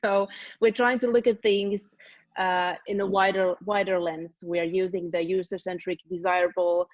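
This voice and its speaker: A woman's voice: lowish voice, level tone, normal speed, dry recording. A woman in her thirties presenting an online design workshop over a video call, casual and full of fillers. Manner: casual, filler-heavy, trailing off. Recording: dull, dry video call audio.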